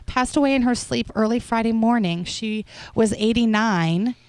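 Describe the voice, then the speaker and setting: A woman's voice: on the high side, moderate pace, clear, resonant tone, no echo, poor audio. A woman co-hosting a radio show, reading entertainment headlines and chatting about them casually. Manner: chatty, reads headlines then riffs. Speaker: radio co-host. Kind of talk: casual entertainment news chat.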